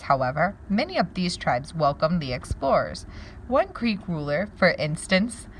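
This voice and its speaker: Low-voiced woman teacher reading aloud, lively intonation. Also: slight background noise, rough recording. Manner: pauses to wonder aloud and explain words to pupils. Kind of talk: read-aloud lesson with think-aloud comments.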